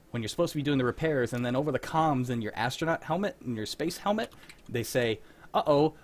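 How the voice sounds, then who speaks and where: A young man hosting a livestream, chatting casually and telling stories in an animated, sweary way. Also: mid-range voice. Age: young adult. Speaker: host of a livestreamed script-reading show. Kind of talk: casual chat.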